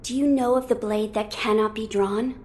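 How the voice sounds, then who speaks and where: An actress playing a serene, solemn young sacred child in a video game, with formal, gentle delivery. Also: female voice, on the high side, steady tone, normal speed, close to an everyday voice. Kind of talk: dramatic video game acting.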